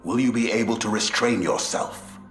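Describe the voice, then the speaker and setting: An actor playing a grave, questioning warrior counsellor in a video game, measured and probing. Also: male voice, fairly low pitch, close to an everyday voice. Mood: concerned, probing. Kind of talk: video game cutscene acting. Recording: echoey, faint music behind.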